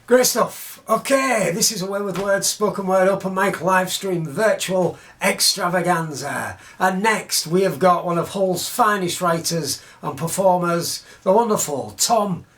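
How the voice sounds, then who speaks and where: Open mic host guy, loud and upbeat, fairly high voice. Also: a little slow, few pauses. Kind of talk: emcee introductions.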